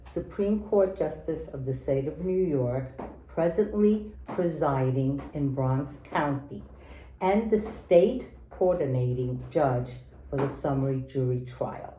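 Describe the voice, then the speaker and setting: A woman's voice: very deep voice, mellow, roomy sound, a little hiss or hum, poor audio. A woman judge hosting a formal interview, gracious and formal with some hesitant fillers.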